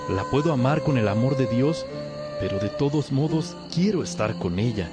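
A man's voice: mid-range voice, expressive, moderate pace, lightly acted. An actor playing a lovesick young man, a respectful pupil who speaks earnestly and hesitantly in a religious radio drama. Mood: troubled, longing. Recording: music behind the voice.

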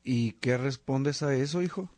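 A man playing a supportive, brotherly monk in a radio drama, with a gentle, attentive manner. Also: medium pitch, unhurried, dry recording, lightly acted.

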